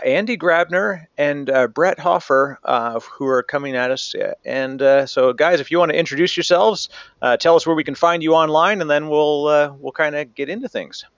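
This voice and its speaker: A man's voice: mid-range voice, clean tone, no room sound. A man hosting a podcast, chatty and leaning on filler phrases as he introduces his guests and asks questions. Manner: chatty, leans on "you know". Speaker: podcast host. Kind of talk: casual podcast chat. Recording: dry, muffled lo-fi audio.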